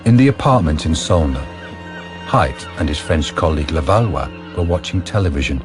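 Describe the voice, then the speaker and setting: Very deep-voiced male narrator. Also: animated, moderate pace, over music. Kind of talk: scripted drama narration.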